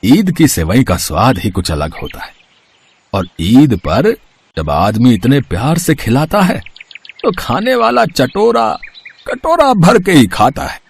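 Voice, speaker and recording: A man voicing a gentle, fatherly elderly villager in a story, slow, soothing and resigned. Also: medium pitch, big pitch swings, creaky, breathy edge, exaggerated voice. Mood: fond, teasing. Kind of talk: storybook character acting.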